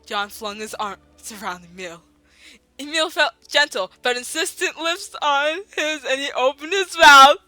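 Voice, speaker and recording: A woman's voice: sits high, animated, a little slow, sharp tone, dry recording. A woman reading fan fiction aloud with friends, laughing often and tripping over words. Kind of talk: giggly casual dramatic reading. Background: faint classical music.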